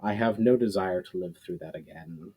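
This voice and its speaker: A man's voice: low voice, normal speed, dark tone, husky, pitched below the performer's own voice. A man voicing a weary, repentant fallen warlord in a fantasy story, with formal, earnest, world-weary phrasing. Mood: worried.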